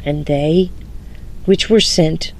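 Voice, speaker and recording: A woman reading the Bible aloud devotionally, in deliberate, halting phrases with pauses, in a home recording. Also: low-pitched. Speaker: scripture reader. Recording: home recording with steady background noise.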